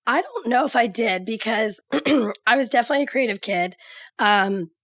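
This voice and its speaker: Hoarse, raspy Southern woman from Georgia, chatty podcast guest, close dry sound. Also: a little high, moderate pace, no room sound. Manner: lively, chatty, laughs easily. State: hoarse from a cold. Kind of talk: casual chat.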